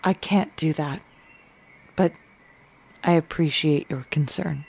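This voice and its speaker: Woman acting a low-voiced, guarded young vigilante. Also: slow, creaky, a little mellow, breathy edge, heavily acted. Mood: hurt, withdrawn. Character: guarded, weary young female vigilante superhero. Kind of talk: audio drama acting.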